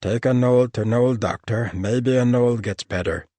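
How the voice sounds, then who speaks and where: A man's voice: medium pitch, measured pace, mellow, no room sound, voice pushed up, natural voice. A man voicing a blunt male gnoll warrior who speaks in clipped broken phrases and refers to himself in the third person. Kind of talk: audiobook character voice.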